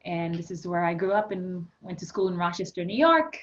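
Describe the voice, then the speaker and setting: A woman's voice: on the low side, lively intonation, brisk. Earnest woman from Haiti, muffled audio. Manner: earnest, reflective. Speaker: yoga health coach and guest. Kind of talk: conversational interview.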